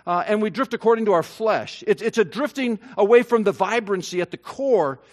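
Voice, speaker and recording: A man preaching a sermon as pastor, earnest and halting, with many fillers and restarts that give way to emphatic high points. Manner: earnest, rising to emphatic peaks.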